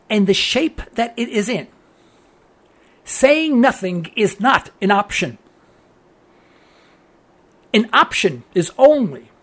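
A man with a New York accent hosting a political talk radio show, delivering an earnest, preachy monologue that repeats phrases for emphasis. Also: high-pitched.

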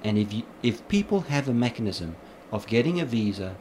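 A man's voice: medium pitch, sing-song, fairly quick, darkish tone. Assured male guest, faint background hiss. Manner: assured, agreeable, explanatory. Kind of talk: casual interview.

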